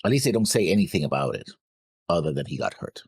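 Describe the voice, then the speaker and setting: Very deep, raspy, gravelly man. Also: very animated, fast, no echo, studio quality. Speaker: co-host of an anime reaction show. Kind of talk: casual discussion of episode notes.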